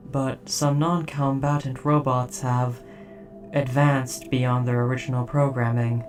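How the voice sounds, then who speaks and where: A performer voicing an old, stoic, protective military robot in a formal, measured, literal, deadpan way for a scripted audio roleplay. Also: mid-range voice, recorded from a distance, lightly acted.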